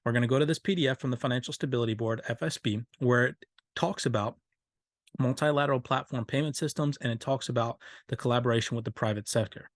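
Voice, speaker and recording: A man's voice: medium pitch, quick pace, no room sound. A male host breaks down a finance clip for his viewers, leaning on stock phrases and stressing key words. His voice sits in an ordinary middle range. The sound is dry and close, with no room around it. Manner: emphatic, repeats stock phrases. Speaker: crypto channel host. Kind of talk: video breakdown commentary.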